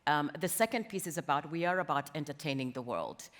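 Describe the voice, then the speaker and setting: Low, even-voiced woman in a reverberant hall. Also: fairly quick. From Kenya. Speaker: interviewee, company talent executive. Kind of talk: conversational panel interview answers. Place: conference stage in a large hall. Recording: reverberant hall, stage event.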